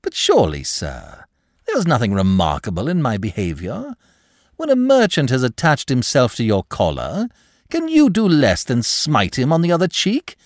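A man playing a suave, impudent young gentleman, lively pitch. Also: normal speed, no room sound, clean studio sound. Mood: pained innocence. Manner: flowery, ingratiating, over-polite speech. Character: suave, impudent young gentleman clerk, mock-courteous and unflappable. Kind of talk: audiobook character dialogue.

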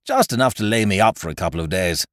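A man's voice: on the low side, lively intonation, quick pace, no room sound, studio quality, close to an everyday voice. A man voicing a breezy, overfamiliar male scrounger in a story, effusive and cajoling with old-fashioned slangy chumminess. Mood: evasive, reassuring. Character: breezy male scrounger, wheedling, overfamiliar, falsely hearty chum.

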